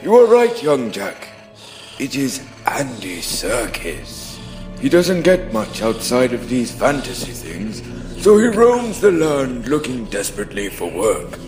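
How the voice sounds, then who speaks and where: A man's voice: mid-range voice, huge pitch swings, measured pace, a little mellow, soft background music. A man playing an eccentric, pompous old wizard in a comedic fantasy radio play, grand and theatrical in his delivery. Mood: pompous, dry.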